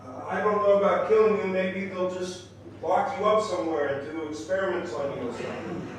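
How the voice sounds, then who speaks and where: A man playing a skeptical, hesitant questioner in a naturalistic audio drama, halting yet blunt and incredulous. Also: sits high, lightly acted. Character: skeptical, hesitant male questioner at a public address. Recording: very echoey room, distant mic, faint music.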